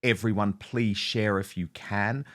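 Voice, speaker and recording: A man's voice: on the low side, fairly even intonation, measured pace, a bit bright. A man hosting an online talk show, giving emphatic, indignant commentary and reading out messages.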